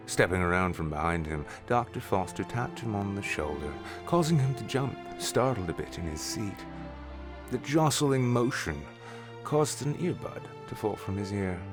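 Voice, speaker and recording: Man, deep low voice, scripted story narration, faint music behind.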